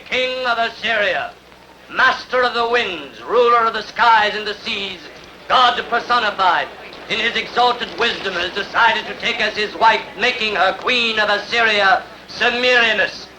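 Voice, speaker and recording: A man's voice: sits high, measured pace, bright, forceful tone, slight background noise, full character voice, low-quality recording. A man playing a royal herald proclaiming to the people in a dubbed epic film, pompous and declamatory. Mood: ceremonial.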